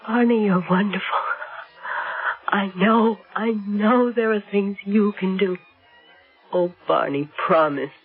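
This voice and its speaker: An actress playing a fragile, frightened young wife who is dying, pleading haltingly in a radio drama. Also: female voice, medium pitch, breathy edge. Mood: weak, urgent. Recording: muffled phone-like radio recording with music.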